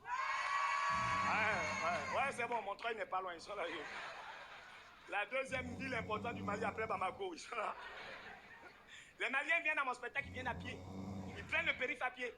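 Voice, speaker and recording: A man doing animated, exclamatory stand-up comedy, teasing a live audience from the stage. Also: very high-pitched, normal speed, pauses often. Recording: noisy live stage recording with ambience.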